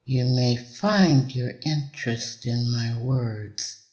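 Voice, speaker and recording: A man delivering a hypnotic induction in a measured, deliberate way, with long pauses between short phrases. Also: medium pitch, darkish tone, highs cut off.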